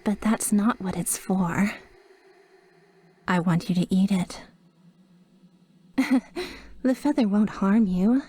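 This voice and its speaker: Soft, unhurried, clingy angel played by a woman. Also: mid-range voice. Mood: tender, clingy. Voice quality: soft, breathy, often dropping to a whisper. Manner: formal, flowery, slow and adoring. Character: sweet, clingy, possessively devoted female guardian angel. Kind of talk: roleplay ASMR, scripted monologue.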